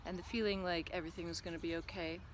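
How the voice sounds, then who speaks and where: A woman's voice: on the low side, fairly even intonation, very fast, background noise. A woman vlogging a reflective, earnest monologue to camera, musing aloud with lots of fillers. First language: not German. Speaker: vlogger and business coach.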